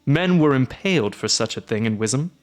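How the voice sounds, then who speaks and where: A man voicing a loud, gruff middle-aged castellan who speaks emphatically with sharp rises. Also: mid-range voice, lively intonation, moderate pace, soft background music, close to an everyday voice. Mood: stern. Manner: exclaiming, emphatic, rising.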